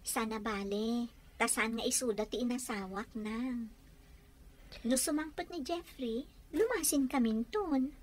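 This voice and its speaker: A woman's voice: fairly high pitch, measured pace. An actress playing a patient young married daughter who soothes her mother in an Ilocano radio drama. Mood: placating.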